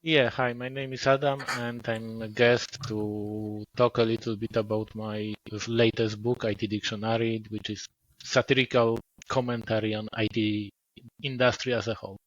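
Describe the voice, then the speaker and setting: A man, a guest author speaking in a measured way, often pausing to rephrase. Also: fairly low pitch, little pitch movement, highs cut off. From Poland. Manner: measured, pauses and rephrases mid-sentence. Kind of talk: casual podcast interview.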